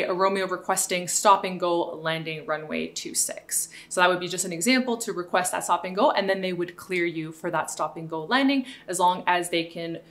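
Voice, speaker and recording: A woman's voice: on the low side, a bit bright. A woman, explaining things to camera in an informal, reassuring way as a video host. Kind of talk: informal explainer to camera, answering viewer questions. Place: living room at home, indoors.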